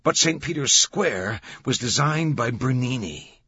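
An urgent professorial man, acted by a male narrator, lecturing with excitement. The voice is raised, forceful and husky, swinging widely, in a dry and slightly dull audiobook recording. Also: mid-range voice, normal speed, no echo, pitched above the performer's own voice, exaggerated voice. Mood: triumphant. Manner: emphatic, lecturing.

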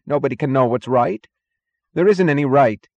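A man's voice: moderate pace, dry recording, lo-fi sound, lightly acted. A man voicing a frantic, pleading man who insists in strained denial, in an audiobook novel. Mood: panicked, insistent. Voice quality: flat, strained. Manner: shrill, insistent questioning.

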